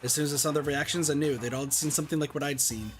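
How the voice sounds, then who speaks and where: An earnest schoolboy narrator, voiced by a man with a lightly high pitch. He speaks quickly and dramatically, like a game hero under strain. Faint music runs behind him. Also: fairly even intonation, lightly acted. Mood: tense. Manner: dramatic, intense. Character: earnest, anxious teenage schoolboy hero narrating his ordeal. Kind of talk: reading visual novel aloud in character.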